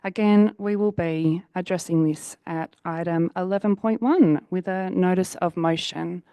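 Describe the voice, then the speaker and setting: A woman runs the formal business of a council meeting in a brisk, procedural way. Her voice is slightly hoarse. The sound is dry and close. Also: fairly low pitch, fairly even intonation, warm tone, no echo. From Australia. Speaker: meeting chair.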